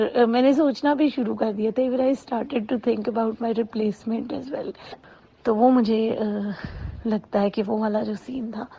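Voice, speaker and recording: Husky, creaky woman, dull recording. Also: mid-range voice, warm tone. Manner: mixes in English phrases. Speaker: amateur audio drama actress being interviewed. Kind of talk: conversational interview answers. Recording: dull, lo-fi sound.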